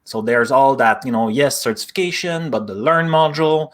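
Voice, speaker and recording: A man's voice: medium pitch, moderate pace, fairly bright tone. A man with a French accent, whose first language is French, chatting casually and explaining things on a tech stream, with small grammar slips. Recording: online stream.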